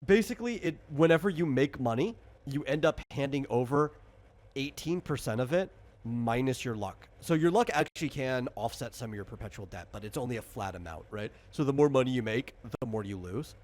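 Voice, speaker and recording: A man's voice: mid-range voice, lively intonation, fairly quick, a little mellow. Casual male game master, mid-range voice, patient and slightly rambling rules explainer for a tabletop session. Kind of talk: casual explanatory chat during character creation.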